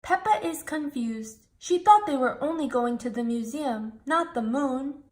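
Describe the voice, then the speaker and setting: A woman reading a children's storybook aloud, slowly, clearly and expressively. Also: high-pitched, expressive. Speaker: storybook reader.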